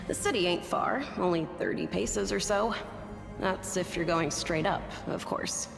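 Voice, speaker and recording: Slow, gravelly adventurer woman. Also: on the low side. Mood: wry, resilient. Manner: colloquial, wry, unhurried. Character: gritty, plucky injured woman companion, dry-humoured and stubborn. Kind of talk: fantasy video game voice acting. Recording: echoey reverberant hall, music behind. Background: game music.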